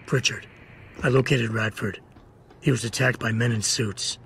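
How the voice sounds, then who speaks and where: An actor playing a terse, brooding security agent in a video game, speaking in a clipped deadpan. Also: male voice, mid-range voice, normal speed, vocal fry, a little hiss or hum. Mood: grim, businesslike.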